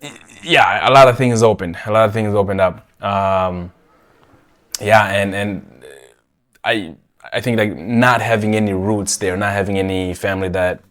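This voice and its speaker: Young adult man, podcast host, born in Rwanda; low, casual, chatty voice. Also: steady tone, normal speed, a bit bright. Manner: chatty, self-correcting, frequent restarts. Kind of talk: casual conversation.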